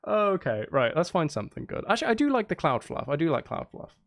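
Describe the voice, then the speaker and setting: A man's voice: a little high, big pitch swings, fast, gravelly, fairly bright tone. A man chatting casually on a live stream as he makes music, geeky and playful, thinking aloud in a rambling way.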